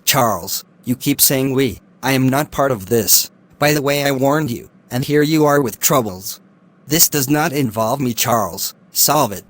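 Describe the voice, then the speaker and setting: A man's voice: medium pitch, lightly acted. A man playing a wary, refusing student. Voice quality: firm, dismissive refusal. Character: wary young male student, refusing firmly. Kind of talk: amateur drama acting.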